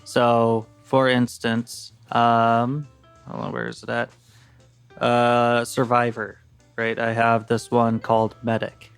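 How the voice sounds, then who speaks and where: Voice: medium pitch, monotone, slow pace, clear, resonant tone, soft background music. A podcast co-host chatting about a roleplay game in a hesitant, filler-heavy way. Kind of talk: casual roleplay podcast discussion.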